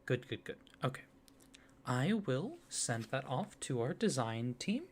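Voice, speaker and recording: A man speaking softly and warmly in an ASMR roleplay as a friendly card designer, gently enthusiastic. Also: mid-range voice, sing-song, unhurried, slight background noise. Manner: soft-spoken, gently enthusiastic. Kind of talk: soft-spoken ASMR roleplay.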